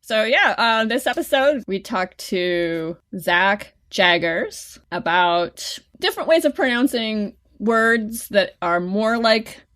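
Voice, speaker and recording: A woman's voice: a little high, animated, slow, hardly any pauses, fairly bright tone. A woman co-hosting a podcast, explaining things in a loose, chatty way. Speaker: podcast co-host. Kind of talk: casual chat.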